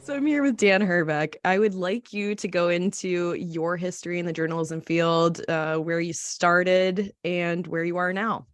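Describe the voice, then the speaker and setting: Low-voiced woman interviewing, very dry sound. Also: steady tone, measured pace, few pauses, no room sound. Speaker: podcast interviewer, co-host. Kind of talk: casual conversational interview.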